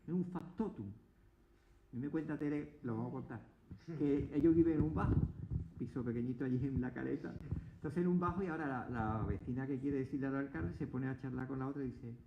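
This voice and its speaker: A man's voice: a little high, roomy sound, dull audio, slight background noise. A man talking politics as a guest, emphatic, piling up points and restarting words. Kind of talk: argumentative political talk. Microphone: table mic, asked to move it closer.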